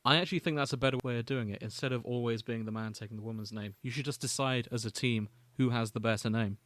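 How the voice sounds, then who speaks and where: A man's voice: medium pitch, fairly even intonation, fast, a bit bright, no echo. A man co-hosting a podcast, chatting casually about fanfiction and reading passages aloud. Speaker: podcast co-host.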